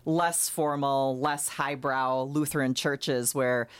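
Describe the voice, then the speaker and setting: A woman explaining history in a measured, knowledgeable way on a call-in radio show. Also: a bass voice, fairly even intonation, clean tone, dry recording. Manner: knowledgeable, measured, self-qualifying.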